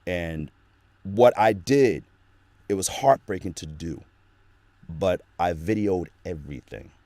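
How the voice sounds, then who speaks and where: Deep-voiced man telling a rambling story. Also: lively intonation, slow, mellow, dry recording. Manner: rambling with many fillers, earnest and religious. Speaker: guest, actor and voice actor. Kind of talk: casual interview storytelling. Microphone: home studio microphone.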